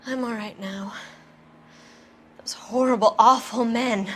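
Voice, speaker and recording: A woman's voice: on the high side, steady tone, slow, a bit bright. A woman acting a shaken, defensive wife of a movie producer in a video game, speaking in a proper, clipped, indignant way. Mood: shaken, faint.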